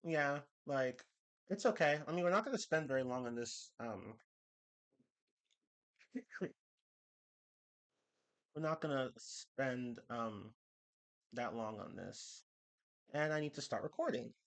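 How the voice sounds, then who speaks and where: A man's voice: mid-range voice, animated, a bit bright. Rambling congested young man streaming. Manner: rambling, restarts and laughs, quick bursts. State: slightly congested from a cold. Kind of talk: casual live-stream chat.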